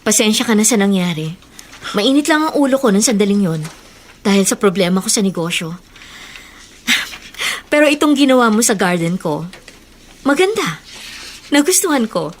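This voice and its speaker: A woman's voice: medium pitch, sing-song, moderate pace, long pauses, slight background noise, close to an everyday voice. An actress playing an embittered, wealthy woman boss wounded by her past, in an emotional Tagalog radio drama. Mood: composed, softened.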